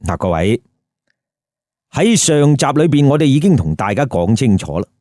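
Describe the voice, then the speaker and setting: Animated male storyteller. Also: mid-range voice, no room sound, clean studio sound. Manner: theatrical, emphatic, drawn-out phrasing with rhetorical questions. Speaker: storyteller, host. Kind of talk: lively comic Cantonese storytelling.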